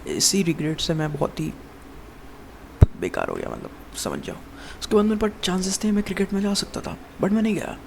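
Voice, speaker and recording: A man's voice: high-pitched, big pitch swings, brisk, creaky, background noise. A man of twenty-one giving a casual, rambling monologue as a fitness vlogger, calling the viewer "bro" over and over and restarting his sentences. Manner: rambling, addresses viewer as "bhai" constantly, many restarts.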